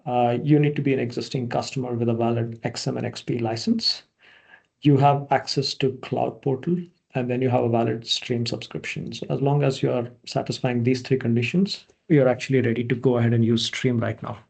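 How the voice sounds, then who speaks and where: A man from India, a product manager, giving a relaxed online presentation over a video call, with many fillers and restarts. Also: medium pitch, brisk, gravelly, husky.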